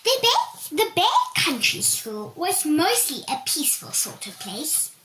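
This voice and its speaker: A woman reading a children's storybook aloud in a deliberate, expressive storytelling manner, with emphatic swoops. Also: high voice. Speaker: volunteer story reader. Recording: echoey room with background hiss.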